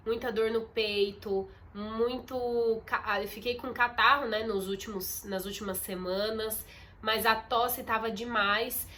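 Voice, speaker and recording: A woman's voice: on the high side, steady tone, measured pace, pushed and bright. Congested, chatty young woman vlogger. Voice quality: muffled, nasal from congestion. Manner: chatty, confiding, addresses viewers as "gente". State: congested, stuffy nose. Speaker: content creator. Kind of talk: casual vlog talk to camera. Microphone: phone on a ring light.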